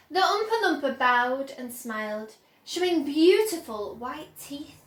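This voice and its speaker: A woman's voice: high-pitched, fairly bright tone. A young adult woman reading a children's story aloud expressively. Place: bright plant-filled room indoors. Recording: roomy indoor echo.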